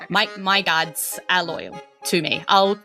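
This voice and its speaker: A woman acts out an earnest young noblewoman in a tabletop roleplaying game. She has a bright, forward New Zealand voice and speaks politely and hesitantly, often apologetic, with faint music underneath. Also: lowish voice, slow, full character voice. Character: earnest, sheltered young noblewoman, a bit of a princess.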